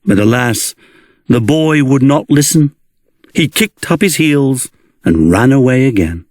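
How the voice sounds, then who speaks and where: A man narrating a children's read-along storybook in an expressive, deliberate storytelling manner. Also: mid-range voice, dry recording, clean studio sound.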